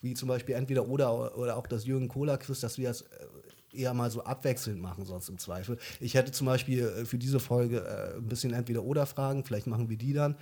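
A man's voice: mid-range voice, steady tone, quick pace, dark tone. A young man co-hosting a casual podcast, rambling with many fillers and restarts. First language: German. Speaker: podcast co-host. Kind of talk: casual chat.

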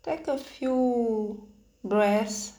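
A woman leading a guided meditation with spoken instructions in halting phrases, pausing often between them. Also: a little high, mellow. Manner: hesitant, halting phrases with long pauses. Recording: roomy, echoing sound.

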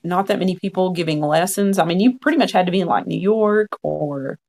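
Guest storyteller: man, 40s, gravelly fry, frank and earnest, chatty. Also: high-pitched, a little fast, darkish tone. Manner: frank, earnest, quotes own past thoughts. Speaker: podcast guest. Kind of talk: conversational storytelling.

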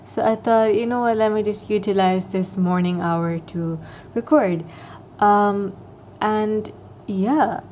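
A young woman talking alone into her podcast mic, meandering from thought to thought with plenty of ums and likes. Her voice is soft and mellow, with a slight morning rasp. She speaks at a middling pitch in an easygoing, self-deprecating way. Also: slow pace, a little hiss or hum. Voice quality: soft, soothing voice. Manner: rambling, filler-heavy, self-deprecating. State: slightly raspier than usual, morning voice. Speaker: podcast host. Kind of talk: casual solo podcast chat.

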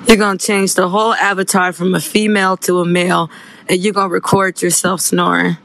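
A man with a high, gravelly voice teases someone loudly in a message to the show. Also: flat delivery, a little fast, no echo. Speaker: caller.

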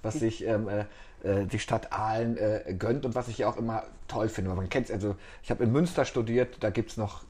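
A man's voice: fairly low pitch, fairly even intonation, moderate pace, a little mellow. A man hosting a casual podcast chat, playful and teasing with his guest. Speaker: podcast host, newspaper editor-in-chief.

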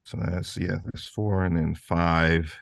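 A man's voice: low voice, little pitch movement, takes it slowly, vocal fry, no room sound, clean studio sound. A man chatting casually as a podcast guest, easygoing and thinking aloud as he goes. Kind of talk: casual chat.

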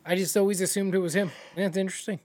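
High-voiced animated man chatting. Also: racing. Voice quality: fairly high voice. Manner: animated, many fillers, jumps up in pitch when excited. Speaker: podcast co-host. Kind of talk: casual chat about films.

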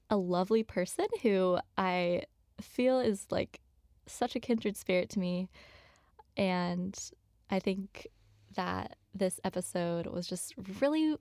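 Woman podcast host, casual intro read, light fry in the voice. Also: mid-range voice, a little mellow, no echo, clean studio sound.

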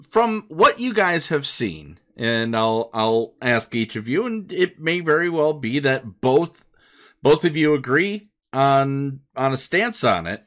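A man's voice: medium pitch, very animated, slow pace, fairly bright tone. A man hosting a podcast, putting questions to guests in casual interview chat. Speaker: podcast host.

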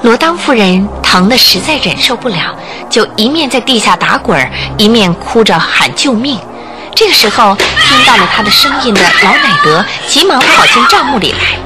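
A woman narrating a Chinese radio drama, telling the story in a measured way with theatrical emphasis. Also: a little high, sing-song, raised voice. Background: music.

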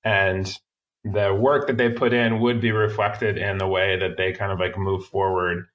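A man being interviewed as a filmmaker, speaking in a thoughtful, halting way with frequent restarts. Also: low-pitched, steady tone, dull audio. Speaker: filmmaker guest. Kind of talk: interview conversation.

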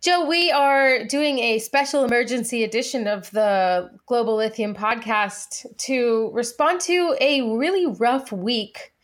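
A woman's voice: a little high, unhurried, hardly any pauses. Wry woman hosting a casual podcast. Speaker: podcast co-host.

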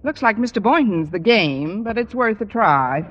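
An actress playing a wry, wisecracking single woman in 1950s radio comedy, with a deadpan, sardonic delivery on an old recording. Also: mid-range voice, moderate pace, dull audio, close to an everyday voice. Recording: very noisy old radio, hall with studio audience. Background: loud studio audience.

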